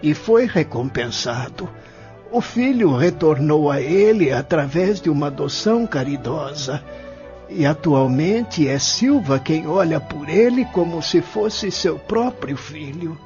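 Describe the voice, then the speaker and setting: Guy acting as gentle young brazilian man in an audio novel, slowish, lightish higher voice, preachy and earnest. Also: expressive. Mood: solemn, consoling. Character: gentle young man, solemn spirit guide or devoted friend. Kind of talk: audio drama acting in a spiritist radio novel. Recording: dull lo-fi audio with music behind.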